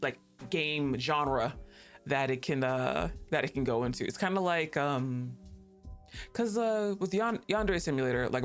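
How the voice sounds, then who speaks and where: A young woman streaming, chatting casually and rambling, laughing often and swearing offhandedly. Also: sits low.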